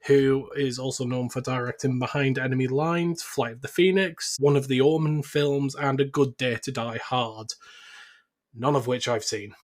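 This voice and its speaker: A man's voice: mid-range voice, fairly even intonation, normal speed. A man hosting a podcast, talking through film notes in a casual, chatty way. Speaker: podcast host.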